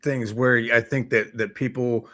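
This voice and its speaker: A man's voice: mid-range voice, steady tone, measured pace, bright, forceful tone, dry recording. A man chatting casually as a talk show co-host, rambling and hesitant as he works through his thoughts. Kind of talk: casual chat about films and comics.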